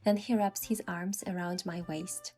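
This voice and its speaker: A woman's voice: on the low side, fairly even intonation, measured pace, mellow. A woman reading scripted first-person story narration, with music behind her voice.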